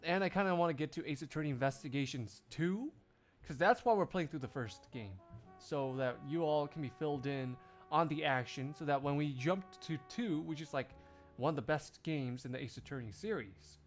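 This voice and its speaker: A man's voice: a little high, normal speed, soft background music. A man livestreaming, chatting casually to his viewers in a jokey, rambling, exclamatory way. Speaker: live streamer.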